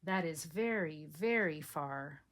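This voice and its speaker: A woman doing a calm, tender father hare. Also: low-pitched, slow. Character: big grown male hare, calm and tender.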